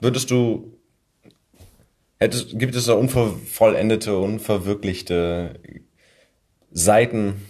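Low-voiced man interviewing casually. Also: steady tone, takes it slowly, long pauses, a little mellow. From Worpswede, northern Germany. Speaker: podcast host, interviewer.

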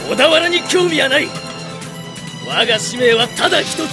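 A man's voice: extremely high pitch, animated, moderate pace. An actor playing a hot-blooded, loyal young samurai spearman in an anime drama CD, speaking in fervent, archaic warrior language. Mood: resolute, fervent. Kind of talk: anime game drama CD acting. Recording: loud background music.

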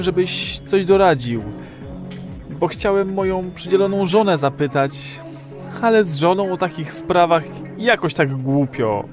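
A timid, high-voiced, hesitant man. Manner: hesitant, evasive, awkward. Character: timid, embarrassed married man seeking a pal's advice. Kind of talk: audio drama acting.

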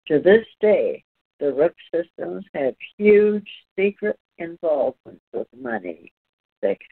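A man speaking in halting, deliberate phrases, as if reading aloud and discussing a spiritual text. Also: fairly high pitch. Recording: thin phone-like line.

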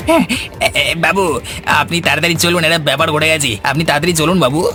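A man voicing an anxious, deferential hotel helper, hurried and pleading, in an animated story. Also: fairly high pitch. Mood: urgent. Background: faint background music.

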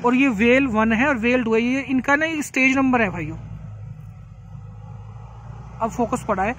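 Male vlogger, strikingly high voice, informal demonstrator chat, light outdoor background noise. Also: measured pace, fairly bright tone. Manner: chatty, repetitive, addresses viewers as brothers. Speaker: farming vlogger. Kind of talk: casual product demonstration to camera. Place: outdoors beside a tractor by a green field.

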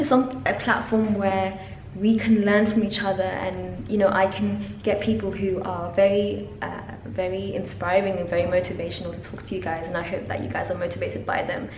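Chatty young woman in an echoey office. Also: medium pitch. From London, England. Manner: chatty and animated, many ums and laughs. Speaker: PhD student vlogger. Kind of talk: casual vlog to camera. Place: university office lab, indoors. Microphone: camera on desk, then handheld selfie. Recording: very echoey room, faint hum.

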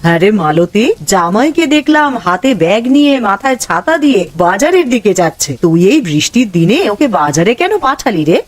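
A woman voicing a kindly elderly village grandmother in a cartoon, homely and expressive. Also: medium pitch, fairly quick, hardly any pauses. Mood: questioning. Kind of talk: animated cartoon dialogue.

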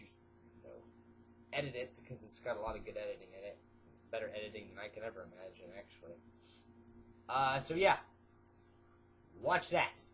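A man's voice: medium pitch, fairly bright tone, a little hiss or hum, low-quality recording. A teenage boy with a stuffy nose vlogging casually to a webcam in his small bedroom, rambling with lots of fillers and jumping from topic to topic. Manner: rambling, filler-heavy, jumps between topics. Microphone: webcam at desk.

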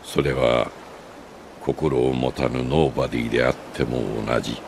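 An older man acting a solemn, remorseful old sage in a Japanese video game cutscene, speaking gravely and with deliberate pauses. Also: very deep voice, fairly even intonation, background noise. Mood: solemn, earnest. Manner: grave, measured, philosophical delivery with deliberate pauses.

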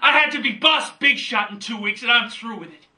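A man's voice: high-pitched, expressive, normal speed, bright, forceful tone, full character voice. A man performing a theatrical monologue as an anguished, defiant grown son confronting his father, in an impassioned delivery. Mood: furious, defiant. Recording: distant microphone.

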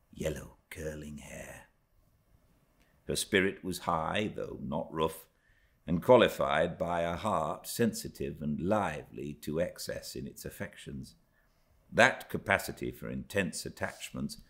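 Deep, unhurried British male storyteller. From Britain. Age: middle-aged. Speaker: audiobook narrator. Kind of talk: expressive literary storybook narration.

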